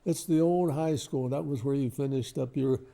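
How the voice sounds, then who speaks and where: An older man, reminiscing casually as an interview guest in a halting, modest, rambling way. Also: mid-range voice, vocal fry, warm tone. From Lebanon, Ohio, United States.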